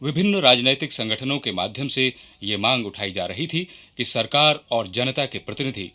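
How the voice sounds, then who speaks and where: A man reading formal, measured scripted narration for a historical radio programme. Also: medium pitch, lively intonation, bright, forceful tone.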